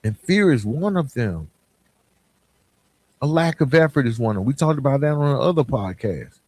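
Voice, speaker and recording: A man's voice: medium pitch, animated, normal speed, darkish tone, no room sound. A man hosting a motivational podcast, speaking earnestly and emphatically in colloquial language straight to the listener. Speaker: podcast host.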